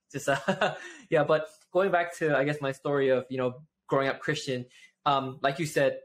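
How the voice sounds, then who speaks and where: A man in his twenties from Malaysia, chatting casually as a guest over a video call and talking with his hands. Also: a little high. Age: 20s. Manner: animated, gestures with both hands, many "right?" tags. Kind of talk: casual interview chat. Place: home office with shelves.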